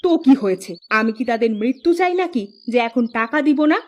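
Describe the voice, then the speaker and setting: A woman's voice: fairly high pitch, lively intonation, fast talker, close to an everyday voice. A woman playing a firm, big-hearted village neighbour wife in a cartoon, speaking emphatically. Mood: indignant, resolute. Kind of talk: Bangla cartoon dialogue acting.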